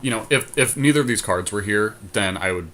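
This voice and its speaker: A man's voice: fairly low pitch, animated, fairly quick, fairly bright tone. A man co-hosting a podcast, casually thinking aloud through unscripted commentary on a game draft. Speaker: podcast co-host.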